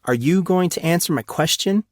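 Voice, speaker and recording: A man acts an awkward schoolboy of fourteen from a storybook, his pitch lifted. He speaks politely and tentatively, unsure of himself. The sound is dry. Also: normal speed, dry recording, close to an everyday voice. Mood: light, wary. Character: awkward, hesitant fourteen-year-old schoolboy. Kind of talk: audiobook character acting.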